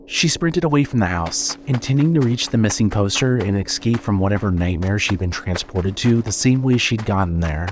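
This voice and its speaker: Low-voiced man narrating horror fiction. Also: animated, noisy background. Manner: measured, suspenseful storytelling. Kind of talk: scripted horror story narration.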